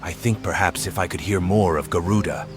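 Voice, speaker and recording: A man acting a solemn warrior with a low voice, haunted and earnest. He speaks in measured, formal phrases as in a fantasy game, with music playing behind him. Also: lightly acted. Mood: grave, courteous. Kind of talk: scripted video game voice acting. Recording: studio voice under game music.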